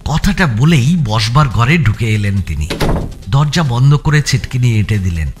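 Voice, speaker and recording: A man narrating a suspense thriller in a dramatic, expressive audio story style, with music behind him. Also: mid-range voice, a little slow. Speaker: narrator reading a first-person thriller.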